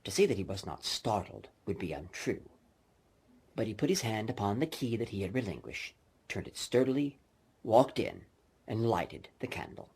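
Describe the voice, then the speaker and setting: A man's voice: fairly low pitch, big pitch swings, normal speed, creaky, slightly rough. A man reading a story aloud as an audiobook narrator, theatrical and dramatic in delivery. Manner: theatrical, swinging from emphatic to hushed. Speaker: audiobook reader.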